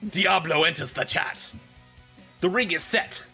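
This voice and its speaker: A man doing comedic live-stream commentary and dramatic reading in an animated, theatrical, profane manner. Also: a little high, a little slow, creaky, soft background music.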